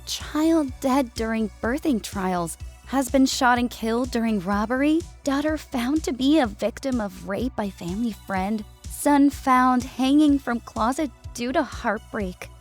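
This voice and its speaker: A woman voicing a weary, skeptical, grumbling female furred alien in a narrated sci-fi story, quiet and sullen. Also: fairly high pitch, expressive, measured pace, soft background music, pitched above the performer's own voice. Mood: troubled. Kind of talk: audio fiction character acting.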